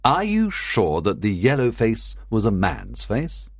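A man's voice: on the low side, wildly swooping pitch, a little slow, slightly rough, no echo, clean studio sound, full character voice. A man playing a composed Victorian detective who questions methodically in a dramatised audiobook. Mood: probing.

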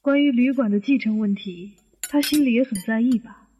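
A woman's voice: a little high, a little fast, dark tone, slightly rough. A woman playing a nosy, insinuating middle-aged mother in a family audio drama. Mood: nosy, gossipy. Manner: prying, insinuating. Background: music and clinking tableware.

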